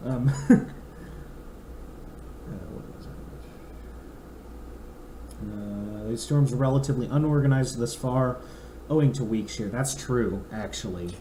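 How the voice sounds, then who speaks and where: A young man co-hosting a podcast, his voice a little raspy and mellow. He chats loosely and reads a forecast aloud, stopping for asides. The room is echoey, with faint noise underneath. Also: medium pitch, a little slow, pauses often. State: raspy throat. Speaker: podcast co-host. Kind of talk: casual chat.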